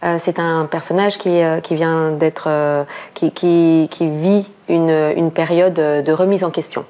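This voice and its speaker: A woman's voice: low-pitched, fairly even intonation, measured pace, muffled recording. A woman interviewing guests, asking long formal questions with frequent "euh" hesitations.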